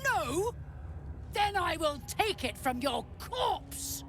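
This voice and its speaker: An actress playing a haughty, menacing alien warrior commander in a fantasy video game, speaking in a clipped, formal, commanding manner. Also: female voice, very high-pitched, sing-song, takes it slowly, a little hiss or hum, full character voice. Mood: threatening.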